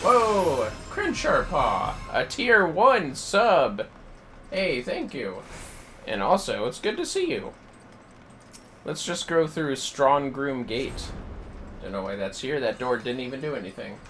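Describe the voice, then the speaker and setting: A man's voice: medium pitch, big pitch swings, slow pace, fairly bright tone, soft background music. A man in his thirties chatting casually and jokingly as he livestreams a video game, with theatrical bits. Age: 30s. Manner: jokey, theatrical, slips into bits.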